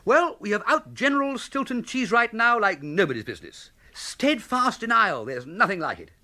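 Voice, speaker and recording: Male, idle upper-class English gent, good-natured and excitable, lightish pitch, hugely animated, period radio comedy. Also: normal speed, full character voice. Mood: gleeful. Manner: plummy, exclamatory, chatty. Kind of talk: comic period radio drama acting.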